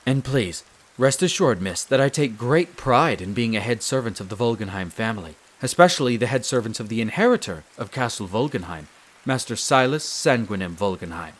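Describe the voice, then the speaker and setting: A man's voice: mid-range voice, lively intonation, natural voice. Man playing a formal, devoted male butler. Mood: dutiful, composed. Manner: formal, deferential, measured and polite. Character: courteous, devoted male wolf butler, head servant of vampire castle. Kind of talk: scripted roleplay audio drama.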